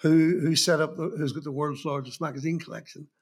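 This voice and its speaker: A man's voice: on the high side, steady tone, fairly quick. A man from Belfast with a Belfast accent, in his fifties, recalling his start in music journalism in a rambling, anecdotal interview manner with many restarts. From Northern Ireland. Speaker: guest, magazine journalist. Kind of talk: reflective interview talk.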